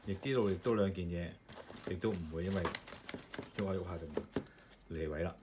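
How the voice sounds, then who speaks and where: A man's voice: deep voice, brisk. A man casually walking through a hands-on assembly step by step, loose and full of fillers. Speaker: maker demonstrating his product. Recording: clear background noise with clicking parts.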